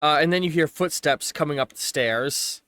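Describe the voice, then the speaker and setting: A man narrating a tabletop game as game master, improvising casually and haltingly as he searches for words. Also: fairly high pitch, bright, forceful tone, clear, resonant tone, dry recording.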